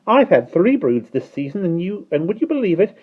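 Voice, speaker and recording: A cheeky male starling from a storybook, harsh and croaking, pitched far above a deep voice and swooping loudly up and down. He chatters away with a haughty, wheedling edge, on a dull, lo-fi recording. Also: big pitch swings, a little fast. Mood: excited.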